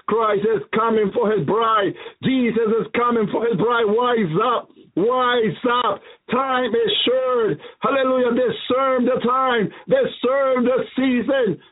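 A man's voice: extremely high pitch, phone-quality sound. A man preaching a prophetic sermon, repeating his phrases and breaking in with hallelujah and praise between them.

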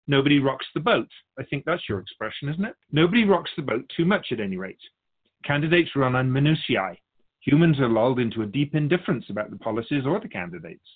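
Man as otherworldly sage, thin phone-like sound, husky, lively intonation, patient philosophical teaching, measured explanations. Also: mid-range voice. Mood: thoughtful. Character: otherworldly spirit Keeper, wise, patient observer of humankind. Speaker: guest sage on a radio show.

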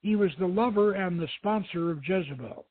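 Storybook lady archaeologist, read by a man: scholarly, assured, high and husky, narrow phone sound. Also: normal speed. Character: learned, earnest lady archaeologist on a quest. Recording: thin phone-like radio line, roomy echo.

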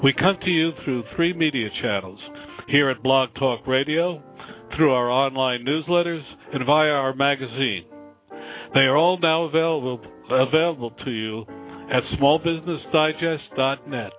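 A man's voice: medium pitch, moderate pace, soft background music. A man hosting a small business radio show, reading a formal intro and interviewing with many fillers. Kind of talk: scripted intro then interview. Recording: phone-like line.

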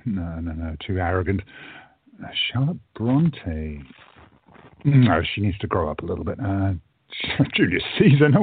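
A man's voice: medium pitch, breathy edge, full character voice. A man playing a polite, wry time traveller in his late thirties who runs a bookstore, in a radio play. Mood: musing. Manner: polite, wry, precise phrasing. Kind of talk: audio drama acting. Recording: muffled, narrow phone-like audio.

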